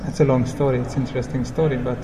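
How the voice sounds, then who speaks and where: A man who speaks English as a non-native language, telling travel stories as a guest in a casual interview, in simple, halting, reflective phrases. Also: medium pitch, level tone, crowd noise. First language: not English. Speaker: interview guest, long-term hitchhiking traveller. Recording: clear background ambient noise.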